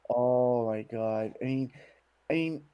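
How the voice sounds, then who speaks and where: A man's voice: mid-range voice, takes it slowly, highs cut off, slight background noise. A man chatting casually as a co-host, mostly in short reactions.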